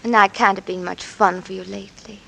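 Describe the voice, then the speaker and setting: An actress playing a frail, affectionate wife in a 1940s radio drama, soft and tired. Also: female voice, mid-range voice, fairly even intonation, fairly quick, vocal fry, rough recording. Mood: tender. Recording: old radio broadcast with faint hiss.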